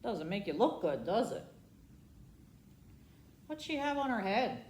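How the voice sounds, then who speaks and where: A woman's voice: medium pitch, sing-song, measured pace, a little mellow, a little hiss or hum. A woman reading a children's storybook aloud as a library storytime host, theatrical and often putting questions to young listeners. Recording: echoey room.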